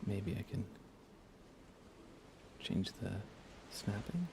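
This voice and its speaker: A young man softly murmuring on an ASMR gaming livestream in an unhurried, casual way, often close to a whisper, near the mic. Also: fairly low pitch, lively intonation, noisy background. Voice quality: soft, hushed, often slipping into breathy whisper. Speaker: livestream host.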